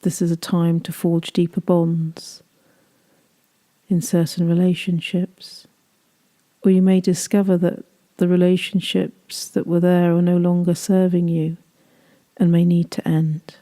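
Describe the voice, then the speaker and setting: A woman leading a guided meditation, speaking gently in soothing, unhurried phrases that trail off softly. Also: fairly low pitch, completely flat delivery.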